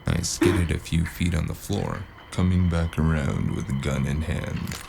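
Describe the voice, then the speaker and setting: A man narrating a noir audio drama as the lead, dry and wry, with a deadpan manner, over a music score. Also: extremely low pitch, unhurried, vocal fry, heavy background noise. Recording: music score playing underneath.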